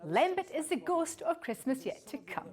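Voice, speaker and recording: A woman presenting a current affairs show, reading scripted introductions and messages in a measured presenter's delivery. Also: high voice, sing-song, darkish tone.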